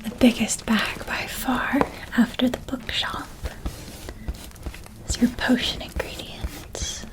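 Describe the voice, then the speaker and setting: Woman playing a kindly witch mentor, ASMR roleplay, hushed breathy whisper, slow unhurried delivery, tender and affectionate. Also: a little high, steady tone, low-quality recording. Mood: proud, affectionate. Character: gentle, doting older witch woman helping with school shopping.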